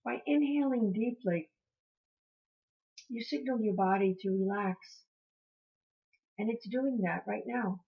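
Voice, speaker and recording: A woman teaching guided meditation in a soothing, encouraging manner, gently repeating her phrases. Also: medium pitch, slow pace, pauses often. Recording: muffled, dull lo-fi sound.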